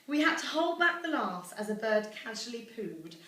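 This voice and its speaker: A woman's voice: a little high, very animated, moderate pace, bright, forceful tone. A young British woman reading a rhyming celebratory speech aloud to a gathering. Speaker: daughter reading her own celebratory poem. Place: echoey room at a family celebration. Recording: echoey room, distant mic, faint noise.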